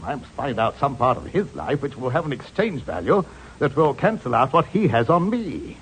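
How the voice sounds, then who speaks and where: An actor playing a pompous, flustered scheming captain in a 1940s radio drama, stammering and effusive with his gentlemanly phrases. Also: medium pitch, very animated, normal speed, close to an everyday voice, low-quality recording. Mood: scheming, courteous. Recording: old radio recording, clear background noise.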